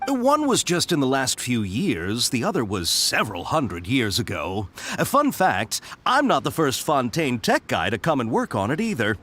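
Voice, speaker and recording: An actor playing a jovial, quirky, chatty technician in a video game, with a jaunty, theatrical manner. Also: male voice, mid-range voice, huge pitch swings, normal speed, fairly bright tone, a little hiss or hum. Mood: jovial, explanatory. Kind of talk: animated video game voice acting.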